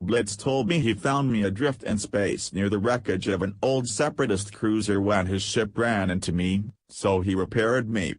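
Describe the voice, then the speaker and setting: Man voicing a deadpan, low-voiced formal droid. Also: normal speed, few pauses, natural voice. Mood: matter-of-fact. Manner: deadpan, precise, formal phrasing. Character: male command droid, dry, formal and literal-minded. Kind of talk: scripted audio drama acting.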